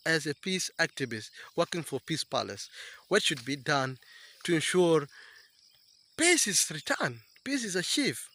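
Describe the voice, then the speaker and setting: A man's voice: high-pitched, sharp tone, no room sound. A man hosting an outdoor TV interview by a river, addressing viewers directly in a presenter's way. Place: outdoors by a river in rural bushland.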